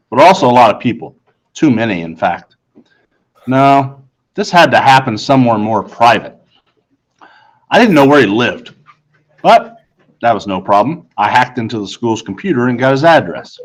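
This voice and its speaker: A man reading first-person story narration aloud in an earnest, deliberate storytelling manner. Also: medium pitch, poor audio. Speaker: audiobook reader.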